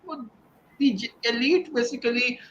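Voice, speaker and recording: A man's voice: very high voice, normal speed, fairly bright tone, echoey room, dull audio, slight background noise. A man discussing his translation of a novel, rambling with constant "you know" fillers. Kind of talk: virtual panel discussion.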